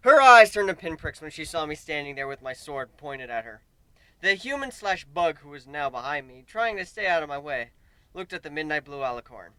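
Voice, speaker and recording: Bright, animated man reading a story dramatically. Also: on the high side, brisk, smooth, dry recording, poor audio. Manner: theatrical, exasperated delivery, swinging from hushed to shouted. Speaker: story reader.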